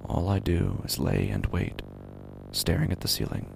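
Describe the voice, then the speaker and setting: Deep, gravelly, brooding father acted by a man. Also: very animated, moderate pace, noisy background, exaggerated voice. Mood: brooding, uneasy. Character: uneasy, brooding father narrating his own horror story. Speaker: narrator and lead character of a horror audio drama. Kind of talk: first-person horror audio drama narration.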